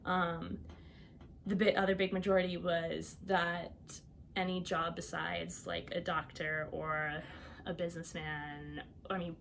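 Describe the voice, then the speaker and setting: A young woman shares her life story as a podcast guest, talking casually with many fillers and light laughs. Her voice sits a little low, and a faint ambient hum runs underneath. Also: a bit bright. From Iowa, United States. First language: Albanian. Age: young adult. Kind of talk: personal storytelling.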